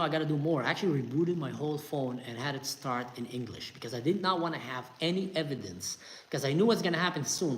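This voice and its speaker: A middle-aged man with an Israeli accent, telling a story from his own life in a lively casual way and acting out the voices he quotes. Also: fairly high pitch, rough recording. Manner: animated, acts out quoted voices, tense retelling. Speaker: storyteller host.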